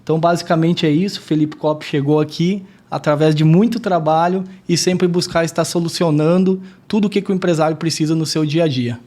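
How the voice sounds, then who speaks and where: Male guest, businessman; earnest and measured, slightly high, mellow voice. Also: fairly even intonation. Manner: earnest, measured, formal phrasing. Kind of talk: self-introduction in podcast interview.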